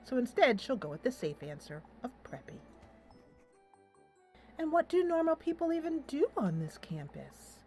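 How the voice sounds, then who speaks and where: A woman's voice: high-pitched, sing-song, normal speed, darkish tone, faint music behind. A woman hosting a casual let's play, thinking aloud in a playful, giggly way as she comments on the game. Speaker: host of a gaming channel.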